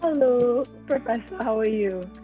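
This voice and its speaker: A woman's voice: fairly high pitch, lively intonation, unhurried. A woman, a postgraduate student talking about herself on a video-call panel. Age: 20s. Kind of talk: self-introduction on a panel. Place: indoor room, video call. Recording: dull video-call audio, faint music.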